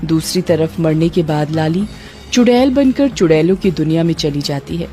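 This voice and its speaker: A woman's voice: low-pitched, dark tone. A woman narrating a scripted story as a storyteller.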